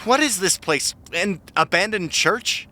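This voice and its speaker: A man's voice: high-pitched, expressive, unhurried, bright, forceful tone, heavily acted. An actor playing an anxious, wry young American guy in an audio drama, incredulous and easily exasperated. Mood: panicked, incredulous.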